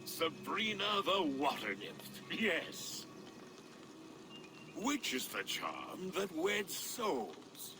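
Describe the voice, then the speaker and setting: Slow, swooping mystic herbalist. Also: male voice, fairly high pitch, wildly swooping pitch, noisy background, full character voice. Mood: mysterious, testing. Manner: slow, theatrical, ritual phrasing. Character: whimsical superstitious rustic herbalist, speaking in riddles. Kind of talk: dramatic video game acting.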